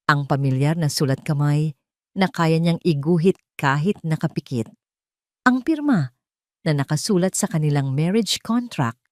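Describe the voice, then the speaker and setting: A Filipino woman storyteller with a slightly low voice, narrating an audio drama from a script. The recording is close and dry, and her delivery is dramatic storytelling. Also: darkish tone, no room sound.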